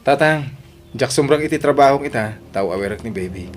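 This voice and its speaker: An actor playing a respectful, earnest grown son in an audio drama, with an Ilocano accent. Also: male voice, mid-range voice, animated, brisk, over loud music, close to an everyday voice.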